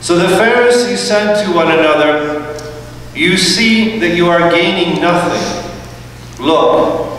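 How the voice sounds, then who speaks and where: Middle-aged priest, slow and measured, slightly high voice, caught from afar in a reverberant church. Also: male voice, fairly bright tone, a little hiss or hum. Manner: slow, measured chanting delivery. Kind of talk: liturgical gospel reading. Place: large church sanctuary. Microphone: far room microphone. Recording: echoey church recorded from far off.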